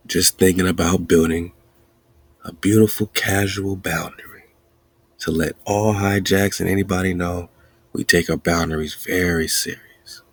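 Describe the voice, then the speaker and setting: A man with a low, gravelly and husky voice preaches in a spiritual talk, building rhythmic lists and repeating himself. He laughs between his points. The sound is dry and close. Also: steady tone, unhurried, pauses often, dry recording. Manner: preachy, repetitive, chanting lists. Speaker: podcast host.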